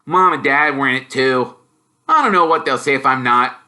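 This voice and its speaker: A man voicing an excitable, blunt young wizard boy who blurts loudly and is easily made gloomy. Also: medium pitch, moderate pace, voice pushed up. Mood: glum. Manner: loud, blurting, animated.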